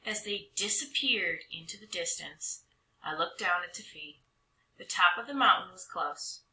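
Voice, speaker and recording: Animated, very bright-voiced woman reading a storybook. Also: fairly low pitch, measured pace, a little hiss or hum. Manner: dramatic, with stretched words and hushed drops. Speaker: storybook reader. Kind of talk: expressive children's read-aloud narration. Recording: roomy echo.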